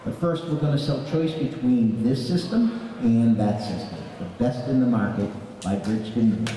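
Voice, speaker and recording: A man presenting items at an auction and praising their features in an upbeat sales pitch. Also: moderate pace, warm tone, heavy reverb, in a large hall, far from the mic, poor audio. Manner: enthusiastic product promotion. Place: large indoor auction showroom.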